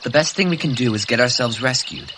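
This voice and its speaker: A man's voice: mid-range voice, lively intonation, a little fast, bright, forceful tone, slight background noise. A man voicing a tired, frustrated teenage boy leader who complains wearily. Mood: earnest.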